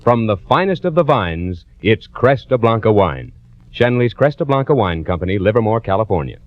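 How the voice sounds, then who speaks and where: Grand, animated vintage radio announcer man. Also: fairly low pitch, no echo. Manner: formal, grand, deliberate enunciation. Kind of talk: 1940s radio advertising and programme announcing. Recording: dull vintage radio recording, faint music.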